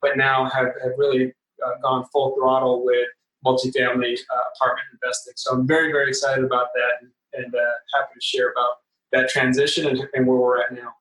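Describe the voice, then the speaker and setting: A man's voice: medium pitch, completely flat delivery, a little fast, fairly bright tone. A man in his forties, originally from the Philippines, speaks earnestly as a podcast guest, often repeating words as he starts a phrase. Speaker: podcast guest, real estate investor. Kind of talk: conversational interview. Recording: roomy, distant sound.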